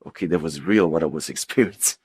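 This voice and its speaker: Deep-voiced man telling a personal story. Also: darkish tone, husky. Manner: halting, repetitive, emphatic. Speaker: guest giving a personal testimony.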